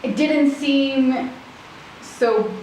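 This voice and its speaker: A woman's voice: high voice, very slow, darkish tone, distant mic. A woman from Switzerland, chatting casually as an interview guest and rambling as she searches for words. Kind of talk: casual chat. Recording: very echoey room with faint noise.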